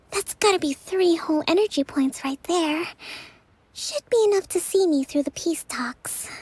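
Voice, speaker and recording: A woman playing a gentle, weary young female leader in a video game, soft-spoken and a little hesitant. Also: very high voice, full character voice. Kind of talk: video game character acting.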